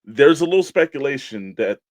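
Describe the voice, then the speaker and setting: A man's voice: medium pitch, expressive, brisk, dry recording. Casual American man co-hosting, close dry sound. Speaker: co-host of a toy collecting show. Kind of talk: casual banter, sponsor reads and mock weather report.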